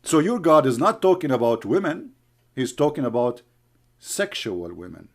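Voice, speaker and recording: A man's voice: medium pitch, big pitch swings, moderate pace. Scornful man. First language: Arabic. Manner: mocking, scornful, calls names. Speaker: online commentator. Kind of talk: heated argumentative commentary.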